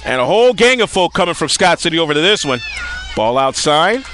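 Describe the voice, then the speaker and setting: A man calling live softball play-by-play as a radio-style sports announcer, outdoors at a ballfield. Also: fairly high pitch, sing-song, fairly quick, faint music behind.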